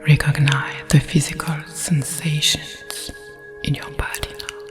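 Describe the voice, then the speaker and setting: A woman with a French accent guiding a meditation in a breathy ASMR whisper, soothing and deliberate. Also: sits low, little pitch movement, over music.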